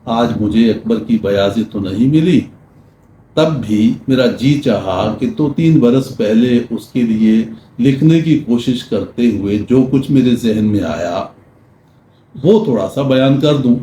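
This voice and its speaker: An older man giving a literary talk in measured, formal diction with deliberate pauses, reading prose and reciting verse. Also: mid-range voice, expressive, mellow. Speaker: lecturer. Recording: roomy echo with faint background noise.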